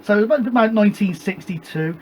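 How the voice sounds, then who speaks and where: A British man with a fairly high voice talks easily and at length on a CB net. He wanders from point to point before handing the turn back. Faint noise sits under his voice. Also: quick pace. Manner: rambling and chatty, ends each turn with "back to you". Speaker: CB radio operator and show host. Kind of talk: casual radio chat on a CB net. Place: home shed radio room with a gas fire.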